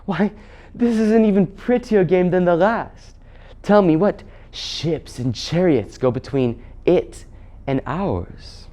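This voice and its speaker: A man's voice: high voice, animated, slow, mellow, voice pushed up. A man voicing an imperious witch queen who is sweetly menacing and condescending, with haughty archaic phrasing. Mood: coaxing, hypnotic. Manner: archaic, haughty phrasing with coaxing mock pity.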